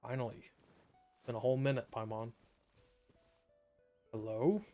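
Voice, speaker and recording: A man's voice: mid-range voice, steady tone, a little slow, dark tone, lo-fi sound. A man giving casual, sarcastic gameplay commentary as a streamer, offhand, with game music behind him.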